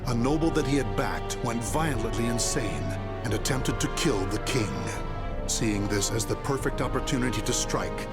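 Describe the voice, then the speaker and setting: Story-mode narrator male: formal, solemn, measured delivery in a middle register, prominent score underneath. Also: medium pitch, lightly acted. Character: grave storyteller recounting a nobleman's history. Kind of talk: scripted game story narration. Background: loud music.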